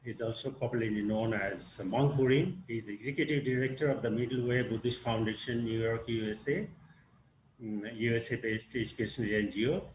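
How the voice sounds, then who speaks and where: A man moderating a webinar and presenting slides, with lots of pauses and fillers. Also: mid-range voice, steady tone. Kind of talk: hesitant presentation with many fillers. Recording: echoey room with faint hiss.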